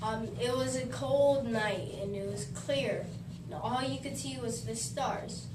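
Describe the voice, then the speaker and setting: A woman's voice: a little high, measured pace, close to an everyday voice. A woman playing a young male ship lookout, reciting his part in a plain, matter-of-fact way. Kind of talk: reciting a historical role. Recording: echoey room, far mic, noisy.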